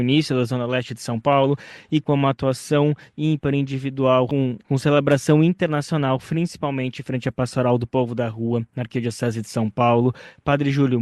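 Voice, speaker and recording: Male interviewer, measured reverent tone, dry close sound. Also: mid-range voice, darkish tone, no echo. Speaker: interviewer host. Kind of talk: radio interview.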